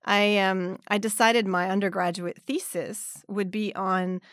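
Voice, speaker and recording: A woman in her forties, raised in Canada, telling her story as an interview guest, lively and often laughing as she acts out quotes. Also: mid-range voice, a little slow, no room sound, clean studio sound. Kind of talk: casual conversational interview.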